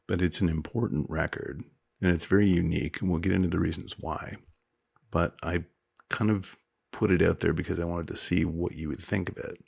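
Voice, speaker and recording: A middle-aged man with a deep voice that is gravelly and a bit husky. He takes his time and explains things thoughtfully to his co-host. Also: fairly even intonation, darkish tone. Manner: measured, explanatory, reflective. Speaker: podcast co-host. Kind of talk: casual chat.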